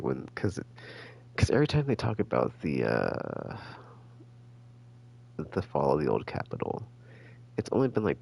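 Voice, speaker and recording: A man giving casual, rambling game commentary as a streamer, halting and slangy as he thinks out loud. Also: rumbling low, creaky. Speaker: gaming streamer.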